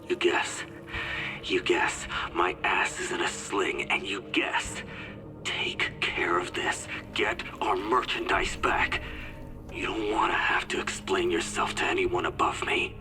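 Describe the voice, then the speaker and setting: A man voicing a ruthless, sarcastic covert boss in a video game, speaking in terse, threatening, breathy phrases. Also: steady tone, slow. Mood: menacing sarcasm. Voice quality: breathy, near-whispered low voice. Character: ruthless male boss of a covert smuggling operation, menacing. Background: music.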